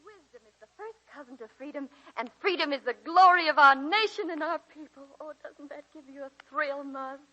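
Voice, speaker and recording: An actress playing a warm, eager small-town schoolteacher in a 1941 radio drama. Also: female voice, sits high, fairly quick, few pauses, low-quality recording. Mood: dreamy. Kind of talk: 1940s radio drama acting. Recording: 1941 radio broadcast recording.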